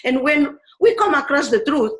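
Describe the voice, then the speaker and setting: A woman in her fifties from Spain with a Spanish accent, a teacher explaining ideas in a thoughtful way, pausing often with fillers. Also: high-pitched, animated. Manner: thoughtful, pauses with many fillers. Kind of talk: explanatory talk on pedagogy.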